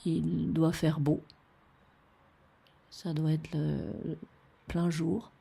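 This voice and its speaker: A woman describing a photograph off the cuff, tentative and halting as she thinks aloud. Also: deep voice, little pitch movement, vocal fry, mellow, husky. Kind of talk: unscripted spoken description of a photo.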